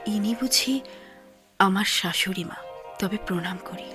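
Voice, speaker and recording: An actress playing a proud, poor young village wife in a radio drama, anxious and dramatic. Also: female voice, mid-range voice, unhurried, close to an everyday voice. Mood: wondering, respectful. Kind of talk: Bengali radio drama acting. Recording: music behind the voice.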